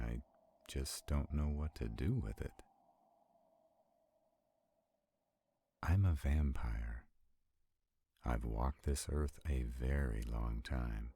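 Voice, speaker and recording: A man playing an arrogant, protective centuries-old vampire in a roleplay audio drama, haughty and brooding, with a gravelly, husky voice. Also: a bass voice, mellow, full character voice. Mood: skeptical, dismissive.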